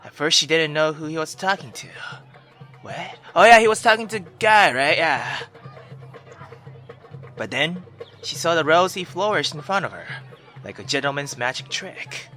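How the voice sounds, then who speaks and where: A male gaming YouTuber reading a visual novel aloud and reacting in a theatrical, excitable way. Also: a little high, normal speed, long pauses. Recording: music behind the voice. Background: background music.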